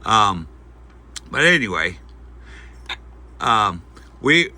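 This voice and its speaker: A man giving a casual, unscripted product demonstration, talking in a halting, folksy way as he thinks aloud. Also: fairly low pitch, big pitch swings, bright, forceful tone. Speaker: host of a gear review channel. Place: indoors at home at night. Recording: faint background noise.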